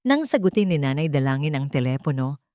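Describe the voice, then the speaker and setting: A woman's voice: deep voice, sing-song, a little fast, warm tone, no echo, studio quality. A woman with a Filipino accent narrating a scripted dramatic story.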